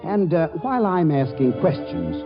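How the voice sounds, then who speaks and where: High, animated male radio announcer over music. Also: normal speed. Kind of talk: scripted 1940s radio narration. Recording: dull old radio broadcast with music.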